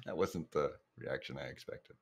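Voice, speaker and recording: A man's voice: very deep voice, moderate pace, vocal fry, breathy edge. A man playing a tabletop game, talking hesitantly and a bit flustered. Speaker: player. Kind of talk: casual game chat.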